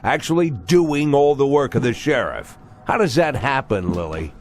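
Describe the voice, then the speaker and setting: An actor playing a stubborn older fugitive ex-cop in a video game, with a blunt, insistent way of questioning. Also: male voice, medium pitch, expressive, normal speed, soft background music, natural voice. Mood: needling, relentless. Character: bearded old man, fugitive ex-cop pressing the sheriff, stubborn.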